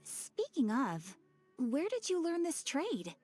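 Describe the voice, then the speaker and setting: A woman's voice: sits high, huge pitch swings, slow, a little mellow, faint music behind, full character voice. A woman voicing a cheerful, courteous guild representative in a video game, speaking with a polite sing-song manner. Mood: polite, curious. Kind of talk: video game character acting.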